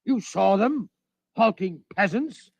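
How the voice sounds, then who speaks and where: An actor playing a fussy, indignant imperial inspector, pompous and sputtering, in an old-time radio drama. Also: male voice, high voice, lively intonation, slow pace, vocal fry, breathy edge, no echo, heavily acted. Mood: indignant, exasperated. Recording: dull lo-fi old radio recording.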